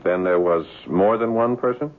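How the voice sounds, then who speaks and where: An actor playing a skeptical, bewildered husband in a radio drama, plain-spoken and questioning. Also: male voice, on the low side, normal speed, lightly acted. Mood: doubtful. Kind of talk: 1970s radio drama acting. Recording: dull, noisy old radio broadcast.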